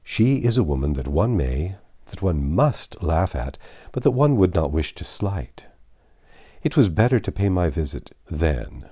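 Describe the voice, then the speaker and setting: Young gentleman suitor voiced by a male reader, earnest, hesitant, polite, mellow low register, storybook. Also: big pitch swings, unhurried, slightly rough, heavily acted. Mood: flustered, apologetic. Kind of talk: dramatised audiobook reading of a classic novel.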